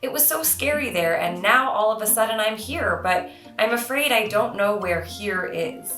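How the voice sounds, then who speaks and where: A shy little boy piglet voiced by a woman speaks with timid, naive wonder in a storybook reading. The tone is bright, with room echo around it. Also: medium pitch, lively intonation, moderate pace. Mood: timid, confused. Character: shy, naive newborn male piglet, easily confused. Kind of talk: storybook character voice. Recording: roomy echo, music behind.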